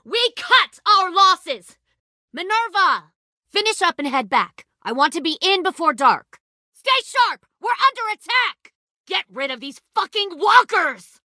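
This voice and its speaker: A woman's voice: very high voice, sing-song, unhurried, sharp tone, dry recording, clean studio sound, heavily acted. A woman playing a cold, menacing middle-aged commander of armed survivors in a dramatic video game. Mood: shouting, furious, then tense, commanding.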